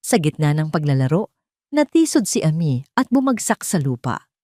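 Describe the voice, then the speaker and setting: A Filipino woman narrates a scripted drama. Her voice sits slightly low and moves through lively, wide intonation. The sound is dry and close, with no room on it. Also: normal speed, no room sound. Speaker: narrator of audio drama stories.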